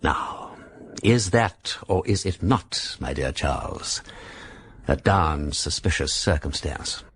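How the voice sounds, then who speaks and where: An actor playing a suave, breezy aristocratic gentleman sleuth in a radio drama, with an upper-class English accent and a jaunty, mock-formal, theatrical delivery. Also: rumbling low, animated, slow, slightly rough, heavily acted. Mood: breezy, dramatic. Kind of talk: BBC radio drama acting.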